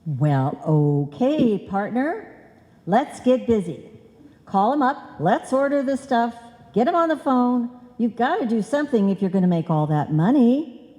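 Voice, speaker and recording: In a reverberant hall, an actress plays a dizzy, bossy wife from old-time radio comedy. Her voice is soft-edged and takes its time. She delivers muddled logic and wrong words with total confidence. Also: on the high side, big pitch swings, measured pace. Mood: breezy, scheming. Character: scatterbrained, bossy married woman hatching a money-making scheme. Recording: live hall recording, long reverb.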